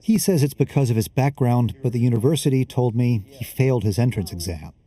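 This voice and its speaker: A man's voice: mid-range voice, a little fast, warm tone. A man working as a reporter, reading scripted narration for a documentary. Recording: music under the voice. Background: background music.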